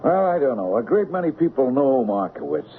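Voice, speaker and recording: An actor playing a slow-witted, doubtful accomplice who stammers and hesitates in incredulity, in an old-time radio drama. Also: male voice, mid-range voice, big pitch swings, phone-quality sound, lightly acted. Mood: doubtful, puzzled. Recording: band-limited, noisy radio drama recording.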